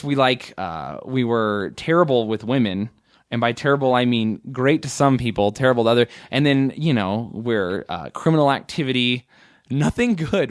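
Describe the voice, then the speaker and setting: A man's voice: medium pitch, dry recording, studio quality. A man in his late twenties, a guest comedian telling his story in a candid, rambling, self-mocking way during a casual interview. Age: 20s.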